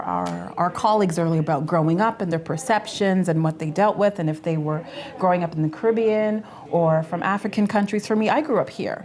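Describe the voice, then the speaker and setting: A woman's voice: on the low side, darkish tone. A Canadian woman in her forties, co-hosting a casual panel chat, earnest and stumbling over repeated words as she makes her point. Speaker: co-host.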